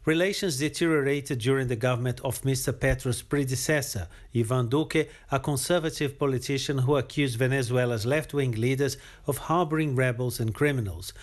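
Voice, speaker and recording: A man's voice: medium pitch, fairly quick, few pauses. A man delivering a scripted, explanatory news report as a correspondent.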